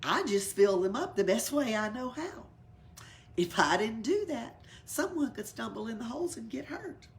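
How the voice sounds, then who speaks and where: Storybook buffalo, woman reader: earnest, emphatic, helpful, animated pitch, creaky, some background noise. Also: moderate pace.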